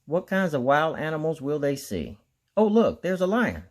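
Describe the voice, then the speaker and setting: A man narrating a children's picture book aloud in an expressive storytelling style. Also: fairly high pitch, normal speed. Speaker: storybook reader.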